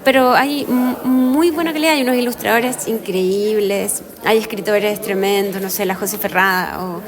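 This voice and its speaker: A woman's voice: medium pitch, normal speed. A woman with a Chilean accent, speaking as a guest in a casual interview and thinking aloud with frequent fillers and restarts. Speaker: guest illustrator and author. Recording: crowd chatter in the background. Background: crowd chatter.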